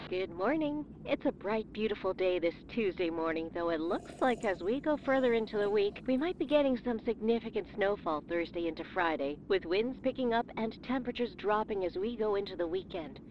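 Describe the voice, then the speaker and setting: An upbeat female TV weather forecaster in an audio drama. She presents in a polished way at a medium pitch. The sound is dull, as if from a television set, with faint noise behind it. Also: expressive, a little fast, hardly any pauses. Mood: upbeat, informative. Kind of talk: broadcast weather report.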